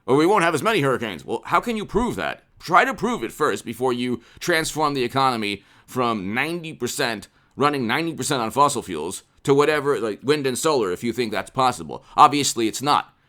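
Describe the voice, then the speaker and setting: A man's voice: mid-range voice, sing-song, a little fast, hardly any pauses, fairly bright tone. An American man in his forties hosting a commentary channel, speaking off the cuff into a desk microphone and repeating phrases for emphasis. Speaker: political commentary channel host. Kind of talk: unscripted political commentary to camera. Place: small indoor room with poster-covered walls. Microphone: desk condenser microphone, close.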